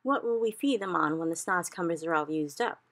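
A woman's voice: on the low side, animated, fairly quick, a bit bright. A woman voicing a gracious, curious queen who speaks in a poised, polite way.

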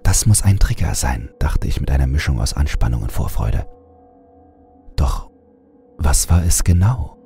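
A man reading a scripted horror story as narrator, measured and suspenseful. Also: very deep voice, big pitch swings, vocal fry, dark tone. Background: music.

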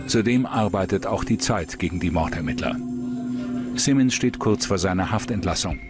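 Deep-voiced male true-crime narrator. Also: lively intonation, moderate pace. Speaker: documentary narrator. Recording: music bed behind voice.

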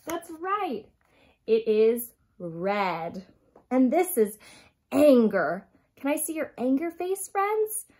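A woman in her thirties reading a picture book aloud to young children in an expressive, dramatic way, stressing key words and addressing her listeners directly. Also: high-pitched. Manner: dramatic gasps and emphatic, drawn-out key words. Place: small furnished room at home, indoors.

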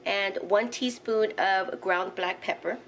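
A woman's voice: medium pitch, steady tone, takes it slowly, fairly bright tone, a little hiss or hum. A middle-aged woman hosting a home cooking channel, casually narrating each recipe step with the odd "um" as she cooks in her kitchen. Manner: instructional, walks through each step with fillers.

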